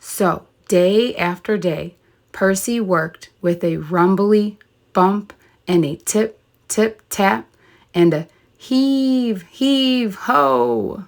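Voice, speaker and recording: A woman reads a children's tale aloud in an expressive teacherly style. Her voice sits at a medium pitch, and she takes her time over the words. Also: drawn-out words. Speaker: storybook reader, teacher. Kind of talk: expressive children's story narration.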